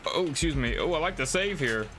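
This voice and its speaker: A man's voice: fairly high pitch, expressive, brisk, background noise. A young man chatting casually on a game livestream, speaking in slang and addressing his viewers like buddies. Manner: slangy, addresses chat as bro and y'all. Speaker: live streamer playing games. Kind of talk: casual livestream chat while playing a game.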